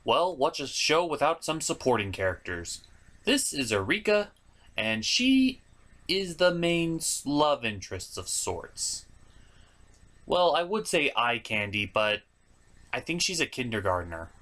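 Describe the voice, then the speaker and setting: Male review host, higher-than-average voice, very lively intonation, theatrical and exaggerated scripted comedy delivery. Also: unhurried. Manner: theatrical, exaggerated, mock exasperation.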